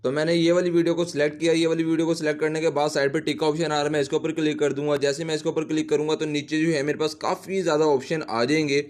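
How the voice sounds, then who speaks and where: A man's voice: fairly high pitch, flat delivery, very fast, few pauses, darkish tone. A man hosting a casual step-by-step app tutorial, explaining in a repetitive, instructional way. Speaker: tutorial host, teacher.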